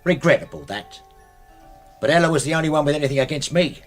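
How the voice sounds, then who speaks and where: An actor with a British accent playing a deferential, plain-spoken servant and loyal old soldier's man in a radio drama, coolly owning up to dark deeds. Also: on the high side, lively intonation, fast, soft background music, lightly acted. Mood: matter-of-fact. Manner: deferential, says "sir" constantly, plain-spoken.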